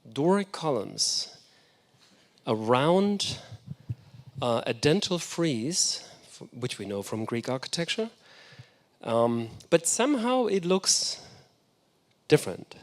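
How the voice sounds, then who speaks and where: A man's voice: on the high side, very animated, slow pace. Enthusiastic German-native man lecturing. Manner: enthusiastic, reflective storytelling. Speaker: guest lecturer. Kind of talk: architecture lecture.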